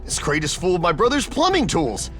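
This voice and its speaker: An excitable young animal plumber from a video game, chatty and exuberant. The voice is high and swings wildly up and down, with light music behind it. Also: male voice, brisk, heavily acted. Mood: puzzled, helpful.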